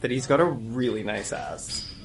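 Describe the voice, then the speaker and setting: A woman's voice: extremely low pitch, normal speed. A woman playing a cheeky, opinionated police officer bantering playfully in an audio drama. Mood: teasing. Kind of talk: conversational audio drama acting. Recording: roomy, echoing sound.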